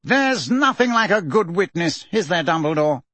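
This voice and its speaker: A man's voice: high voice, very animated, normal speed, pushed and bright, no room sound, pitched above the performer's own voice, heavily acted. A man voicing a pompous older government minister in an audiobook, blustering and heavily sarcastic. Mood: hearty.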